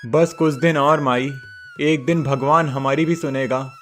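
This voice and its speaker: A man playing a devoted village goatherd boy, soft and reassuring, high voice, slow, cartoon story. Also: steady tone, soft background music. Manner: soft, reassuring, stammers when scared.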